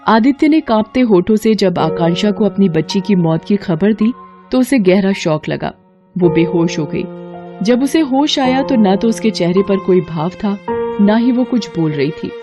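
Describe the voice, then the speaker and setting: A woman's voice: medium pitch, expressive, normal speed. Woman narrating a dramatic story over music. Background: background music.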